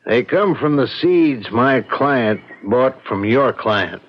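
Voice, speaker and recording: A man's voice: medium pitch, lively intonation, slow. A man playing a seasoned, worldly small-town lawyer in a radio drama, talking in a blunt, knowing, folksy way. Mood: persuasive. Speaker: actor. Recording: muffled radio drama recording.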